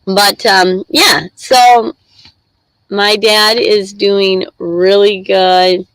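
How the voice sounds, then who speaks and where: A congested middle-aged woman hosts a casual live stream, chatting and rambling to her viewers. She talks at a slow, easy pace with plenty of pauses. The sound is dry and close, with no room echo. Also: mid-range voice, fairly even intonation, a bit bright, clean tone. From Michigan. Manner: chatty, rambling, reads chat aloud. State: congested with a head cold.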